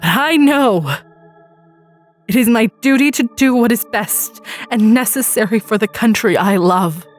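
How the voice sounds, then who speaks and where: A woman voicing a grief-stricken female president giving a halting, solemn emergency address in a story reading. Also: a little high, faint music behind, natural voice. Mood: heavy-hearted, resolute. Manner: halting, solemn, tearful delivery. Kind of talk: formal televised speech in a story reading.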